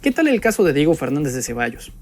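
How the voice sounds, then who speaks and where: A man's voice: fairly high pitch, big pitch swings, quick pace. A young man with a Mexican accent giving a pointed, sarcastic political monologue full of rhetorical jabs at an opponent. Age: young adult. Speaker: political commentator. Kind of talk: spoken opinion monologue addressed to an opponent. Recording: background music under the voice.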